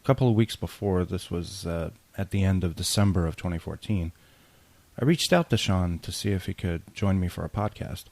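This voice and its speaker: A man's voice: low-pitched, fairly quick, vocal fry, a little mellow, no room sound. A man hosting a podcast, speaking candidly and reflectively in a personal spoken tribute. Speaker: podcast host.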